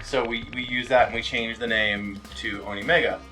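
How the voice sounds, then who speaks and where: A man rambles casually as he plays a game, with music clearly behind him. His talk is halting, circling and peppered with swearing, in the loose style of a let's play host. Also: medium pitch, steady tone, pushed and bright, far from the mic. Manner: foul-mouthed, rambling, halting. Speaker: gaming video host.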